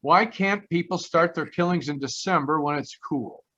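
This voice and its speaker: An actor playing a wry, slangy American private detective who narrates an old-time radio mystery with grumbling comic patter. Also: male voice, a little high, moderate pace, a bit bright. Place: performed from home on a video call. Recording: slightly dull video-call sound.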